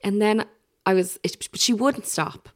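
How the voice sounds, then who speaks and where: A woman's voice: medium pitch, unhurried. An Irish woman hosting a podcast in casual, chatty talk, with lots of fillers and restarts. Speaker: podcast host. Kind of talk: casual chat.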